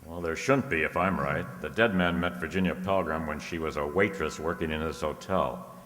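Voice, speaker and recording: Very low male voice, actor as shrewd private eye, wry, deducing, radio mystery, reverberant hall. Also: moderate pace, fairly bright tone. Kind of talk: detective radio play acting.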